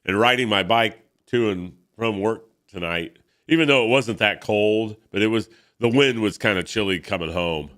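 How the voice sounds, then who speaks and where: A man's voice: fairly low pitch, moderate pace, a bit bright. A stuffed-up man in his fifties hosting a casual comic book reading, rambling with many fillers. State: congested. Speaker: host.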